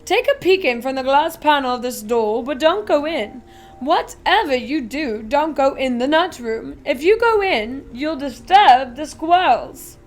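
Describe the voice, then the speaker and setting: A woman reading aloud and voicing an eccentric, excitable male chocolate factory owner who talks loudly and briskly. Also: high voice. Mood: eager, instructive. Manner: loud, brisk and commanding.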